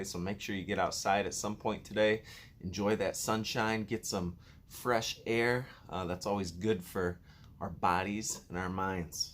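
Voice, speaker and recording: Low-voiced man, encouraging teacher reading aloud. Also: a little hiss or hum. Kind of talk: casual chat and storybook read-aloud.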